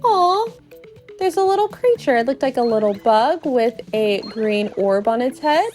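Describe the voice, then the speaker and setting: A woman narrates a video game playthrough as a storytelling host. Her voice is high and swoops widely, at an unhurried pace, with playful, cutesy exclamations. Music runs underneath. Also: sing-song, a little mellow. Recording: music behind the voice.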